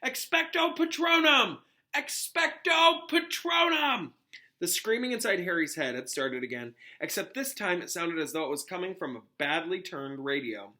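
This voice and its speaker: Very bright-voiced man reading a story aloud. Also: fairly high pitch, animated, fairly quick, few pauses. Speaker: teacher reading a novel aloud. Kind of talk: expressive scripted storybook narration.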